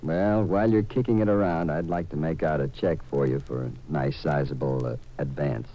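A man playing a wealthy, self-assured attorney in a 1950s radio drama, speaking in an urbane, measured way. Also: very deep voice, fairly even intonation. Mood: suave, persuasive. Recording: dull old-time radio recording.

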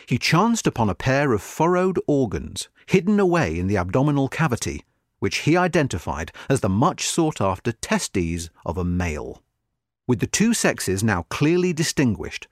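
Man reading formally, with lively intonation. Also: mid-range voice, no echo, studio quality. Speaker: narrator. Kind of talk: scripted narration of a history article.

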